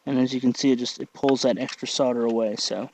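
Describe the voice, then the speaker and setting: A man narrating a casual hands-on tutorial, thinking aloud in a halting way as he works. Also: mid-range voice, fairly even intonation, a little mellow, a little hiss or hum, rough recording. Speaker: tutorial host.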